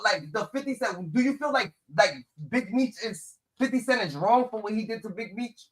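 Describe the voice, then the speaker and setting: A man's voice: high voice, expressive, normal speed. A man hosting a casual talk in profane street slang, often repeating his phrases. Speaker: host.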